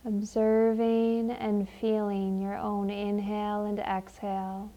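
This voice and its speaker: A woman leading a guided meditation in a gentle, unhurried, soothing manner with long pauses. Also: medium pitch, completely flat delivery, lo-fi sound.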